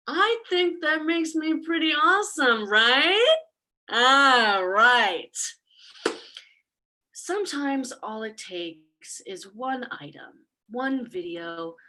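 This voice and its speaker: An actress playing a smug, snarky demon in a comic stage monologue, sarcastic and conversational, boasting about corrupting mortals. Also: female voice, on the high side, pushed and bright. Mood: proud, then quietly ominous. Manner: sarcastic, conversational, casual swearing.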